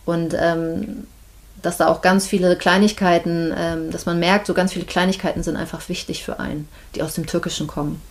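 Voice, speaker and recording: Thoughtful woman with a low voice. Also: steady tone, brisk. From Germany, Hamburg. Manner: thoughtful, many hesitations. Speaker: midwife, podcast guest. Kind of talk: casual interview chat.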